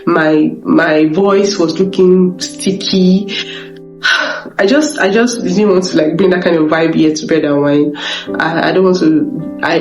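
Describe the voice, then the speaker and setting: A young Nigerian woman vlogging about her recent illness, her voice weak and off from being sick. She talks haltingly and keeps repeating words and restarting. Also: low-pitched, vocal fry, darkish tone, dull audio. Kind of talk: personal confessional vlog. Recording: echoey room with guitar music behind.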